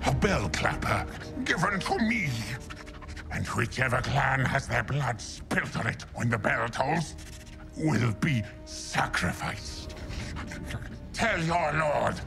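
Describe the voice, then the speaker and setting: A man's voice: mid-range voice, sing-song, slow, creaky, exaggerated voice. An actor playing a scheming, fanatical ratman in a video game cutscene, speaking in clipped, broken phrases with theatrical menace. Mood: sly menacing. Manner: clipped broken grammar, repeated words, theatrical. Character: scheming, frenzied ratman zealot, cunning and fanatical. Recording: loud music behind the voice.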